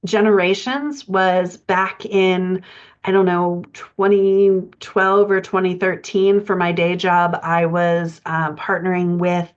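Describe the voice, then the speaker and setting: A woman's voice: lowish voice, flat delivery, slow. A woman giving an informal, explanatory podcast lesson as a writing coach, talking in a conversational way. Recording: dull lo-fi audio.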